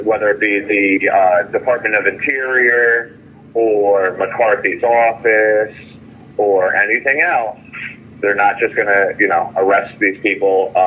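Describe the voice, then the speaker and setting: Male phone caller, lowish voice, frustrated and repetitive, tinny connection with some background noise. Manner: frustrated, complaining. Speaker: jailed caller. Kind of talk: phone call venting about jail and legal case. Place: phone call from jail.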